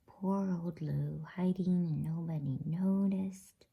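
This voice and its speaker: A woman voicing a teasing, patronising older boy in a breathy whisper, reading a bedtime storybook. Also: on the low side, fairly even intonation, slow pace, narrow, tinny audio, heavily acted. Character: teasing older boy, amused big brother.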